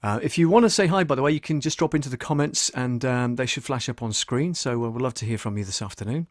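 A man's voice: mid-range voice, fast talker, no echo, studio quality. A middle-aged British man hosting a casual screen-share tutorial, hesitant as he thinks aloud while working. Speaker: voice-over artist and audio producer hosting a livestream.

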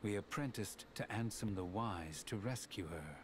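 An actor playing a cold, aloof young villain in a game drama, measured and stern. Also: male voice, lowish voice, lively intonation, a little hiss or hum, close to an everyday voice. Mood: grave, cold. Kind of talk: dramatic video game voice acting.

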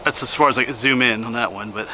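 A middle-aged man from Pennsylvania casually narrating as he hikes a winter forest trail, relaxed and slightly out of breath. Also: mid-range voice. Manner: relaxed, chatty, pauses for breath. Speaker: hiking vlogger. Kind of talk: casual narration while hiking. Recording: outdoor noise on camera mic.

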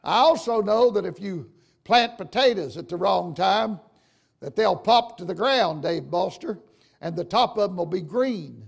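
An older man with a gravelly, raspy voice, preaching a folksy, emphatic sermon to his congregation. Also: high voice, lively intonation, measured pace. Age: older, 60s or 70s. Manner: emphatic, folksy, addressing listeners directly with questions. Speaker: preacher, church bishop. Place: church sanctuary, at the pulpit.

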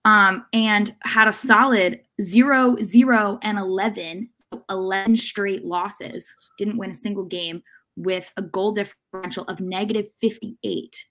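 A young woman on a video call, giving measured, halting sports analysis as a panelist in a casual discussion. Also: medium pitch, steady tone, sharp tone. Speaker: soccer podcast panelist. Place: indoor room at home, video call. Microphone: video call microphone.

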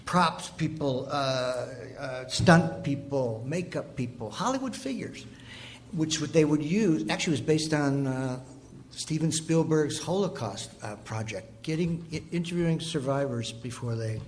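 A man's voice: a little high, lively intonation, measured pace. A man in his sixties telling anecdotes casually as a guest author on a stage panel. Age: 60s. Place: theatre stage, indoors. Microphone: table microphone on a stand. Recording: live stage pickup, faint noise.

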